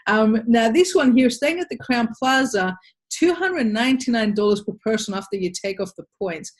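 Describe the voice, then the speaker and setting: A woman's voice: on the high side, expressive, normal speed. An Australian woman giving a sales presentation in a webinar, upbeat and persuasive, speaking to a webcam from a home office.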